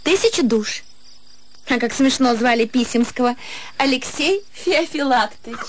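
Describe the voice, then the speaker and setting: A woman's voice: high voice, lively intonation, normal speed, slight background noise. An actress playing a playful, naive young woman in a radio drama, with a light, girlish, teasing manner. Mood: playful, coy. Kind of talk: theatrical radio drama acting. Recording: faint music behind the voice.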